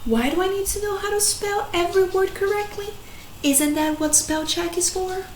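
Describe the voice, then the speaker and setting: A woman voicing a grumpy, whiny young schoolboy from a storybook, with exaggerated, drawn-out complaints. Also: very high voice, voice pushed up. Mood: exasperated. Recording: echoey room, faint noise.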